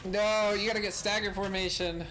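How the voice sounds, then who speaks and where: Hoarse man casting a strategy game. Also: high voice, normal speed. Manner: calm analysis broken by excited exclamations; frequent throat clearing. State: hoarse from a cold. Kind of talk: casual play-by-play game commentary.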